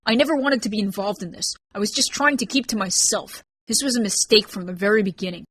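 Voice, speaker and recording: A woman's voice: medium pitch, fast talker, fairly bright tone, breathy edge, no echo. Brief: hurt defiant young woman, read by a woman narrator, earnest pleading, dry read.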